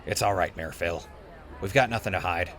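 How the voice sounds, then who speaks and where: A man's voice: low-pitched, fairly quick, a bit bright. A man playing a friendly, wisecracking ghoul wastelander in an audio drama, with a casual, joking manner.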